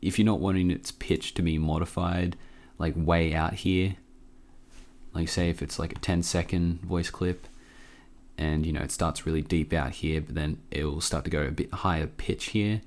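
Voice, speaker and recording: A man hosting a casual software tutorial, informal and a bit hesitant as he thinks aloud. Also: sits low, fairly even intonation, normal speed. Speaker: tutorial host.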